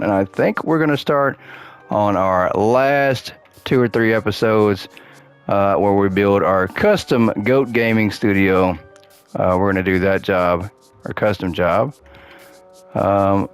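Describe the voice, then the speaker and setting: Low-voiced man with a cracking voice. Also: smooth. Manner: chatty, upbeat, thinks aloud while playing. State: losing his voice. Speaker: gaming channel host. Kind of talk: casual gameplay commentary.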